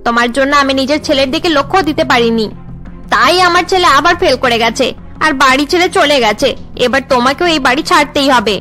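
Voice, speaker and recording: High-pitched, spiteful scolding daughter-in-law. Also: female voice, fairly quick, sharp tone, soft background music. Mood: scornful, angry. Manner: scolding, domineering. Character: harsh, spiteful daughter-in-law in a family feud. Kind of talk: cartoon family melodrama acting.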